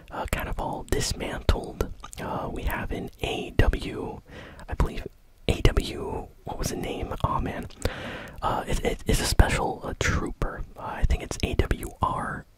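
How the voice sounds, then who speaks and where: A host whispering gaming news in an informal, soft and hesitant ASMR style, with a breathy, unvoiced voice. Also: rough recording.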